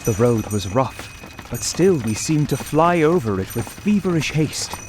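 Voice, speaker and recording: A man playing an earnest English lawyer's clerk, narrating his journal in measured, dramatic old-time radio style over music. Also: medium pitch, lively intonation, noisy background, natural voice. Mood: ominous, tense. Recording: music and effects under voice.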